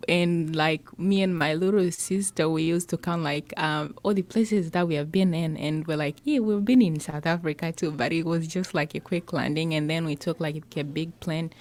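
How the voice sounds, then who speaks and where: A teenage girl from Congo, a high school student, telling her own story in an interview, hesitant and full of "like" fillers. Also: fairly even intonation, normal speed, hardly any pauses, darkish tone. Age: teens. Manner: hesitant, with frequent "like" fillers and restarts.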